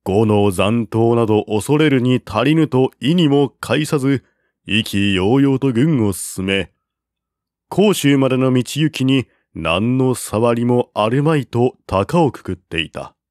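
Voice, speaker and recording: A man reading a classical war novel aloud as narrator, in a slow, measured way at a close mic. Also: medium pitch, animated, a little mellow, no room sound. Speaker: audiobook narrator.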